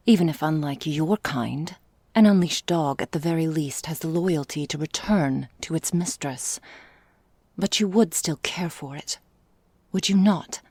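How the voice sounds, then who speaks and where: A woman playing an ancient, elegant vampire mistress in an ASMR roleplay, speaking formally and deliberately in a breathy, gravelly, whispery voice. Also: deep voice, a little mellow, dry recording, heavily acted. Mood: stern, menacing. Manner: slow, formal, theatrical, deliberate.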